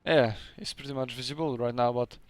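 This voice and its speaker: A man's voice: medium pitch, fairly even intonation, a little fast, no echo. A young man with a Polish accent casually commentating his own strategy game match, chatty and laughing often, with hesitant second-language phrasing.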